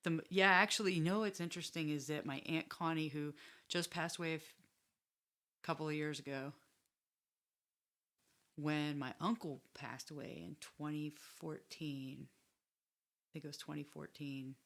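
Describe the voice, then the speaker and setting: A woman's voice: deep voice, fairly even intonation, moderate pace, long pauses, a bit bright. A young adult American woman hosting a podcast, chatting enthusiastically, laughing often and rambling with restarts. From United States. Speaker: podcast host. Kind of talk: casual chat.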